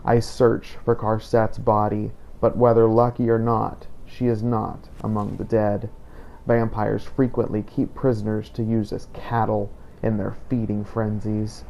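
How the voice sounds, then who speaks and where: Male narrator, grave vampire hunter journal: dark-toned, monotone, measured and solemn. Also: fairly low pitch, gravelly, low-quality recording. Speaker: game player narrating a roleplay playthrough. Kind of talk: in-character scripted journal narration.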